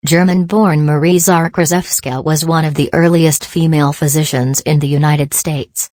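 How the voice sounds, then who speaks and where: A woman's voice: deep voice, fairly even intonation, normal speed, a little mellow, dry recording, studio quality. A woman reading practice passages aloud in a scripted style for exam preparation.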